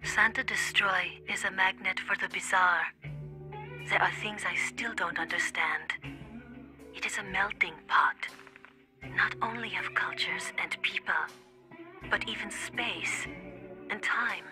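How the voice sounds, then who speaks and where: A woman voicing a seductive, musing character in an intimate, breathy video game monologue. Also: mid-range voice, unhurried, long pauses, lightly acted. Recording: music behind the voice.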